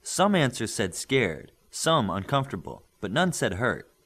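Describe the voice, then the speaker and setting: A man reading a superhero story aloud as scripted narration, in an expressive storytelling manner. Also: medium pitch, measured pace, husky. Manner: expressive storytelling, acting out dialogue. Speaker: audiobook narrator.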